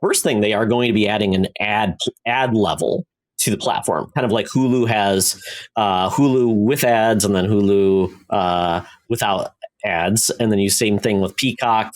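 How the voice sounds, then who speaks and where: A man's voice: lowish voice, moderate pace. A man hosting a podcast, explaining news in a rambling, conversational way. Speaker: podcast host presenting entertainment news. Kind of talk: casual news chat.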